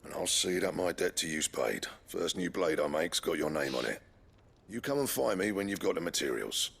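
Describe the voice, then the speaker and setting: An actor playing a gruff, proud older blacksmith in a video game, with a blunt, wry, colloquial manner. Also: male voice, low-pitched, lively intonation, normal speed, fairly bright tone, exaggerated voice. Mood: sincere gratitude. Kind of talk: video game cutscene acting.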